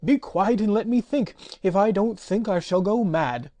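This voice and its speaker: A woman voicing a crooked, frantic old male magician who cries out loudly in despair. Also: mid-range voice, lively intonation, moderate pace, no room sound, lightly acted. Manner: loud, wailing and despairing.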